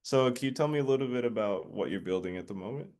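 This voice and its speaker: A man in his thirties, a podcast guest, talking in a relaxed way with lots of fillers and tag questions. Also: mid-range voice, quick pace. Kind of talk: casual conversation.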